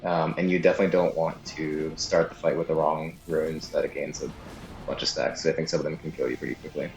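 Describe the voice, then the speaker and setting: A man's voice: very deep voice, flat delivery, fast talker, gravelly, dull audio. A man giving a casual, unscripted video game walkthrough, explaining as he goes and often unsure of details. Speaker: guide narrator. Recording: slightly echoey room, game music underneath. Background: game music.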